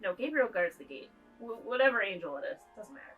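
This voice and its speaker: A woman's voice: medium pitch, sing-song, quick pace, slightly rough, phone-quality sound. A woman co-hosting a casual movie-watching chat, joking as she narrates the plot aloud. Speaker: co-host. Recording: piano music behind.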